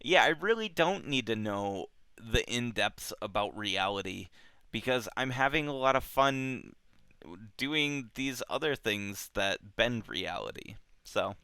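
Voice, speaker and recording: A man casually discussing a book as a show host, thinking aloud in halting, repetitive phrases. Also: mid-range voice, animated, sharp tone, smooth, no echo.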